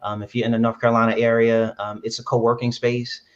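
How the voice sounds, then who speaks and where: A man's voice: mid-range voice, little pitch movement, quick pace. A man from Brooklyn, an app founder, answering interview questions earnestly with many fillers and restarts. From Brooklyn, New York. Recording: dull lo-fi sound.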